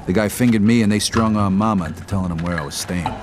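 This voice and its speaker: A man's voice: on the low side, fast, background noise, natural voice. An actor voicing a young convict who narrates his own story in a dry, world-weary way in a game cutscene. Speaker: narrator and protagonist. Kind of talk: scripted narration.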